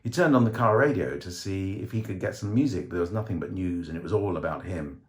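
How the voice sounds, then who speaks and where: A man's voice: low-pitched, fast. A man reading a short story aloud as a dramatic scripted narration.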